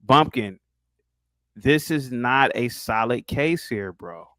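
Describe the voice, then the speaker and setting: A man's voice: medium pitch, lively intonation, slow pace, crowd noise. A man from Ohio hosting a casual debate stream, reading articles aloud and teasing his guest in a mocking tone. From Ohio, United States. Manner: mocking, teasing, reads text aloud and comments. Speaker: stream host.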